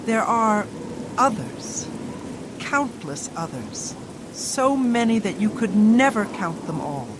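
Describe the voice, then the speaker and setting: An actress playing a damned woman's soul who speaks gravely and reflectively about eternity. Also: medium pitch, very animated, background noise. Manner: measured, grave delivery. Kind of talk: game character dialogue. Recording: loud rain and thunder behind.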